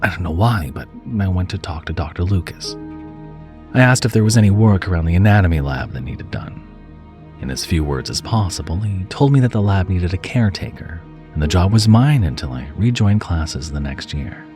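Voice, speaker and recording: A man narrating a first-person horror story in a measured, dramatic and expressive way, dropping to a hush at times. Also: low-pitched. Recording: music under the voice. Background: background music.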